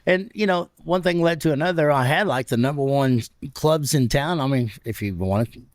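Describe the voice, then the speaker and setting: A man in his fifties with a deep, raspy voice, a radio personality telling stories with laughs in a casual interview. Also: moderate pace, no room sound, studio quality. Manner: animated, laughs between stories. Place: stadium club room.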